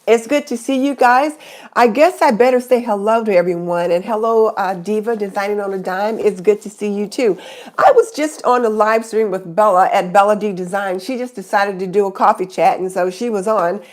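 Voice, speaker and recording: Middle-aged woman host, stuffy-nosed from a cold, loose chatty live-stream delivery, mid-range pitch. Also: moderate pace, a little mellow. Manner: chatty, greets viewers by name. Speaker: YouTube channel host. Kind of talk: casual live stream chat.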